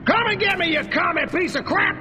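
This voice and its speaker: An actor playing a belligerent, bombastic army general who hates communists, in a video game cutscene. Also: male voice, very high-pitched, brisk, long reverb tail, over music. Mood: furious. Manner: bombastic, combative.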